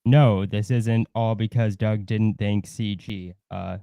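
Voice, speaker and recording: Male livestream host, gravelly fry, dark relaxed tone, blunt and profane in casual opinion talk. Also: fairly low pitch, slow pace, no room sound, studio quality.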